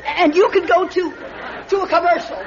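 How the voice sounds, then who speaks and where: A woman's voice: very high voice, steady tone, moderate pace, some chatter behind. A woman playing a grand, easily offended middle-aged movie star in a radio drama, clipped in manner. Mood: indignant. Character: haughty, easily offended ageing film actress. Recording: dull, lightly noisy radio recording.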